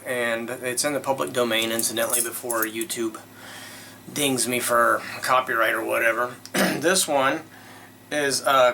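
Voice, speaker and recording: A man hosting with casual commentary, talking in an informal, halting way. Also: mid-range voice, bright, forceful tone, a little hiss or hum. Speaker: host.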